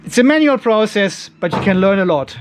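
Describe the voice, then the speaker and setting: A man's voice: sits high, sing-song, fairly quick, a little hiss or hum. A middle-aged man giving a casual hands-on demonstration, chatty and informal, thinking aloud as he works. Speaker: host of a DIY off-grid battery channel. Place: garage workshop.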